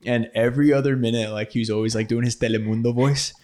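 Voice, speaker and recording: A man's voice: medium pitch, fairly even intonation, fast talker, darkish tone, no echo, studio quality. Easygoing young man hosting a podcast. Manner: easygoing, frequent yeahs and laughs. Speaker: podcast host and actor. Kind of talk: casual podcast chat.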